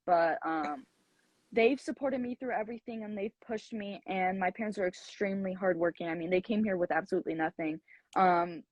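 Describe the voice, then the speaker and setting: A woman's voice: mid-range voice, no room sound. A young woman around twenty, a college golfer from the United States, answering interview questions in a chatty way with frequent fillers.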